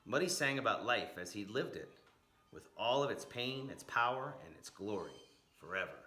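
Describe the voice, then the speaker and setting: A man reading a story aloud in an expressive, dramatic narrating style with emphatic, rhythmic phrasing. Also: on the low side, moderate pace, faint music behind. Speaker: storyteller reading a picture book aloud, blues educator. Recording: roomy, echoing room sound.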